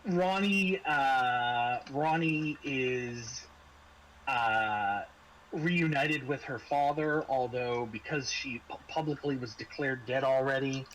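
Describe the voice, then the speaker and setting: A man acting as game master, wrapping up a tabletop game in casual, halting talk full of repetitions. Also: mid-range voice, a bit bright, slight background noise, poor audio.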